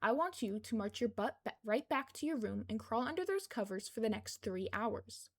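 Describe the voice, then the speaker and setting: A woman performs a brusque woman soldier in an audiobook story. The voice is on the high side, bossy and scolding, with a protective streak underneath the orders. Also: expressive, moderate pace. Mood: firm, protective. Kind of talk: fan fiction audiobook character dialogue.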